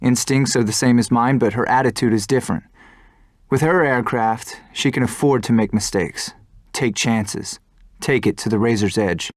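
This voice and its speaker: A man's voice: mid-range voice, normal speed, no room sound, natural voice. Brooding, principled young male fighter pilot played by a man, game drama, introspective, close dry sound. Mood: reflective. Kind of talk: scripted game cutscene narration and dialogue.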